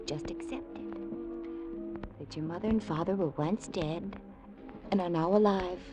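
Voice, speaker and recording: Slow, clinging, melodramatic sweetheart. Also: female voice, mid-range voice, sing-song. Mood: yearning, pleading. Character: tender, clinging sweetheart, secretly a seductive Martian impostor. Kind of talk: 1950s radio drama acting. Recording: old radio recording, music behind. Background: music.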